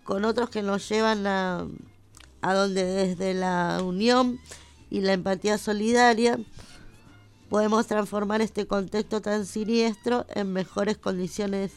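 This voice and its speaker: A woman co-hosting a radio talk show, giving measured commentary. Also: mid-range voice, steady tone, clear, resonant tone. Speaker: radio co-host. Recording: music under the voice. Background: music.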